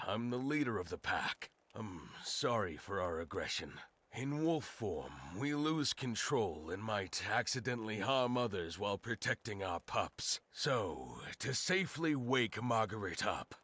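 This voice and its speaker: An earnest werewolf pack leader in a fantasy game. His voice is bright and slightly rough, courteous in manner and very expressive in its rise and fall. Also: on the low side, dry recording, exaggerated voice. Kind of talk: video game cutscene acting.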